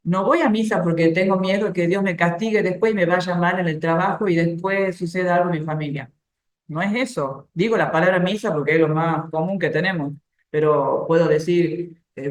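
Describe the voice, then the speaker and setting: A woman giving an informal faith talk, explaining ideas through everyday examples and soft laughs. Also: on the low side, reverberant.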